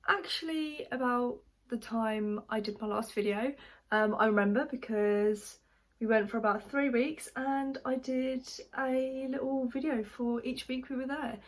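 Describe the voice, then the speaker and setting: A woman's voice: on the high side, a little slow. A young woman from South Africa who grew up speaking Afrikaans, chatting casually while she does her makeup for a vlog. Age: young adult. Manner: chatty, relaxed, says "literally" and "just" a lot. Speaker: makeup vlogger. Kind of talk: casual makeup tutorial chat.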